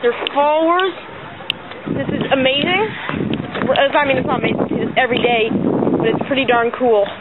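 A man's voice: extremely high pitch, fairly even intonation, moderate pace, pauses often. A teenage boy with an American accent giving casual fishing commentary outdoors on harbor docks into a handheld camera. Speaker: fishing vlogger. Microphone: handheld camera microphone. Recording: tinny phone-like audio, loud outdoor noise.

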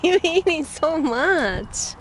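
A woman's voice: high-pitched, sing-song, takes it slowly. A woman chatting casually while filming her own travel vlog, playful and laughing often. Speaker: vlogger. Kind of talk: casual chat. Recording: handheld camera with loud background noise.

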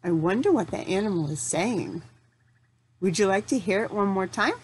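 A middle-aged woman leading a lesson for young children, asking simple questions, pausing for answers and encouraging them. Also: mid-range voice, animated, very noisy. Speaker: teacher.

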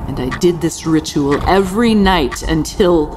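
An actress playing an obsessively tidy, murderous housekeeper, a genteel lady narrating a Gothic radio play in a theatrical, deliberate way. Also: low voice, music in the background. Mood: sly, controlled. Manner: theatrical, deliberate, repeating words for emphasis.

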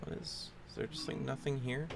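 A man casually commentating a game as he plays, chatting and reacting aloud in a relaxed way. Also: medium pitch, fairly quick, creaky, background noise. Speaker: gameplay commentator. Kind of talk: casual let's-play commentary.

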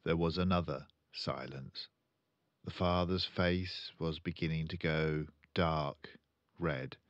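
An older man narrating a children's book aloud with measured, deliberate delivery. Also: a bass voice, fairly even intonation, no echo, dull audio. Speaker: storyteller. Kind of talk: scripted children's audiobook narration.

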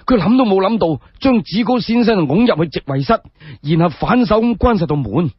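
A man telling a dramatic story solo for radio in Cantonese, colloquial and expressive, with chatty asides to the listener. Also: sits high, brisk, breathy edge, no echo. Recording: dull lo-fi radio recording.

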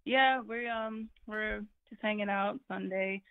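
A woman's voice: mid-range voice, slow pace, smooth, dry recording. A woman calling in to a live show, friendly and giggly, with a slightly scratchy voice. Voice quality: a little scratchy. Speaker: caller. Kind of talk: casual phone call. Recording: tinny phone line.

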